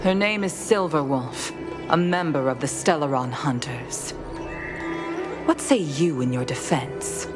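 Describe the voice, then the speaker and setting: An actress voicing a stern, formal middle-aged female commander in a video game, speaking with measured firmness. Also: deep voice, expressive. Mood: stern, accusing. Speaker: guild leader in a sci-fi fantasy game. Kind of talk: scripted video game dialogue. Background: background music.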